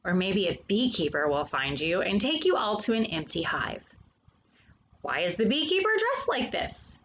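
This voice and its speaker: Woman reading to children, very lively pitch. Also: slight background noise. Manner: addresses young listeners directly, with questions and pauses. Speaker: host of a children's storytime, reading a picture book aloud. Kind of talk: read-aloud children's nonfiction story with brief asides.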